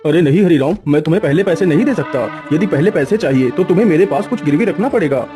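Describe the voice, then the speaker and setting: A man's voice: on the high side, animated, fast talker, over music, close to an everyday voice. A man voicing a crafty, stone-hearted cartoon moneylender with a condescending, calculating manner. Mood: firm, calculating.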